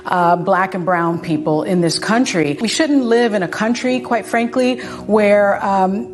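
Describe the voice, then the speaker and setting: Female TV commentator, mid-pitched, measured and emphatic, light music underneath. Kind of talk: televised political commentary.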